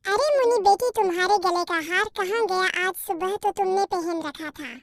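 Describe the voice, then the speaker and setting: A woman's voice: extremely high pitch, moderate pace, creaky, no room sound. A woman voicing an older mother-in-law bird in a cartoon, motherly and emphatic. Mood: surprised, questioning. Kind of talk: Hindi moral-story cartoon dialogue.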